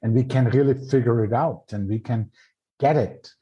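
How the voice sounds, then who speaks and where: A man's voice: mid-range voice, moderate pace, muffled recording, a little hiss or hum. A man with an Austrian accent, speaking thoughtfully and searching for words in English. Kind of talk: casual spiritual conversation.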